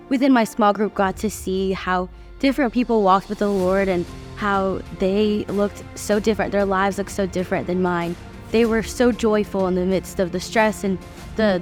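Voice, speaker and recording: A young woman in her twenties speaks earnestly about what moved her, in a warm middle pitch. Soft music plays underneath her words. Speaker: student interviewee. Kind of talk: testimony in a tribute video.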